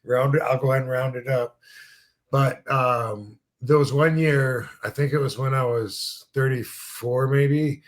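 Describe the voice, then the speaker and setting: A man's voice: mid-range voice, fairly even intonation, measured pace. A man in his fifties talks through a casual podcast chat. He rambles in a laid-back way, trails off into fillers and swears freely. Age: 50s. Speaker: podcast host, former wrestler.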